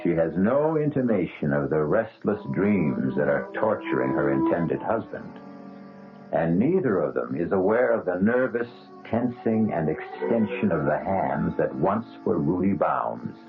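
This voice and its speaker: A man narrating a radio mystery in a deep, gravelly voice with lively, expressive pitch. His delivery is measured and ominous. The sound is thin and phone-like, with clear music beneath. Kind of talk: scripted dramatic narration.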